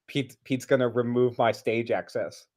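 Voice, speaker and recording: A man's voice: mid-range voice, steady tone, moderate pace, darkish tone. A man co-commentating a basketball stream, chatting casually and explaining plays in a conversational way. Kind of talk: casual chat and game analysis.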